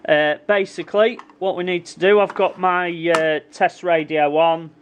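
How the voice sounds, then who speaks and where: A man's voice: high-pitched, normal speed, slight background noise. A man giving a casual hands-on repair tutorial, informally addressing viewers and thinking aloud as he works. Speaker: repair hobbyist presenting his own video.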